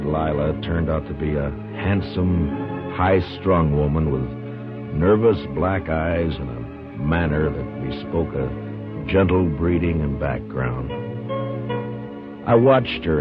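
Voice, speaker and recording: Brief: calm, authoritative lawman narrator, low voice, muffled old radio. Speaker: lead actor and narrator. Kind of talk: 1950s radio drama acting. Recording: dull 1950s radio recording with music.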